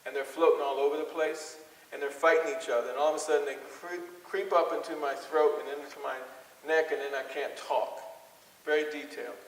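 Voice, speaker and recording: Higher-voiced man leading a workshop in an echoey hall. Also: steady tone, fairly quick. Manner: repeats answers back, encouraging. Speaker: workshop speaker. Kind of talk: interactive workshop talk, questioning participants and explaining. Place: large hall with an audience. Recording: echoey hall, distant microphone.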